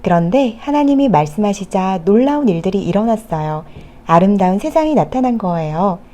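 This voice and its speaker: A female storyteller with a soft-toned, mellow voice at a medium pitch. She reads children's Bible stories gently, explaining things as if to little ones. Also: expressive, a little fast.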